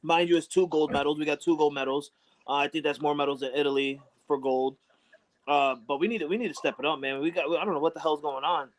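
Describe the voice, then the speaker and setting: A man from New York co-hosting a sports talk show, talking in heated, profane banter. His voice sits a little high for a man. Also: steady tone, fast talker, poor audio. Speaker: co-host. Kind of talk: casual sports chat.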